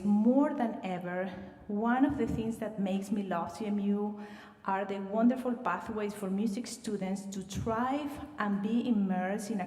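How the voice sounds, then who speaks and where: Venezuelan woman presenting in a reverberant hall. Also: mid-range voice. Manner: warm welcoming, slight non-native phrasing with fillers. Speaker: admissions director. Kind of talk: livestreamed presentation to students. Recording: roomy reverb, voice far from mic.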